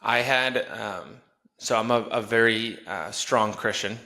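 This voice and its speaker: A man's voice: on the low side, unhurried, creaky, bright, forceful tone. A man with a Wisconsin accent hosting a podcast, talking earnestly and conversationally and asking follow-up questions. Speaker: podcast host. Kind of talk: casual podcast interview.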